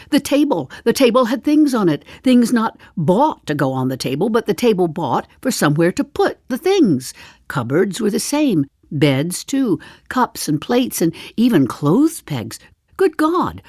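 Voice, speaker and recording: Chatty old lady monologue, wry and musing, slow w dramatic pauses, very dry close sound. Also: medium pitch, darkish tone, no echo. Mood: incredulous, questioning. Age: older. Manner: deliberate, dramatic pauses, dropping to confiding asides. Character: wry, chatty seventy-five-year-old woman, musing and darkly comic. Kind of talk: theatrical monologue, storytelling to the listener.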